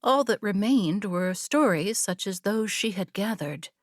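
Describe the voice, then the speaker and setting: A woman narrating fantasy fiction from a script in a measured, deliberate storytelling manner. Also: medium pitch, lively intonation, dry recording, clean studio sound. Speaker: audiobook narrator.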